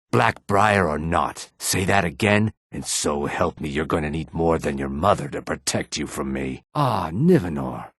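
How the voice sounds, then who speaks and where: A man playing a courteous, weary and dignified reptilian merchant in a fantasy video game, speaking formally in a throaty rasp. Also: low voice, no room sound, studio quality, heavily acted. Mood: indignant. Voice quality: raspy, husky, throaty. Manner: polite, formal, measured. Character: courteous male Argonian lizard-folk merchant, weary and dignified.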